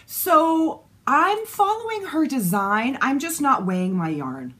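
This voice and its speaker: Canadian lady in her 40s, teasing chatty co-host, pitch all over the place, craft room. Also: big pitch swings, fairly bright tone. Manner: playful, teasing, quick asides. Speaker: podcast co-host. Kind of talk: casual chat. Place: indoor yarn-shelved craft room.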